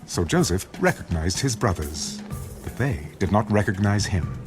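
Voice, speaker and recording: A low-voiced man narrates scripture as solemn audio theater. His delivery is very varied in pitch and scripted, with prominent music playing behind him. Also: very animated, moderate pace. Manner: solemn storytelling. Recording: loud music bed.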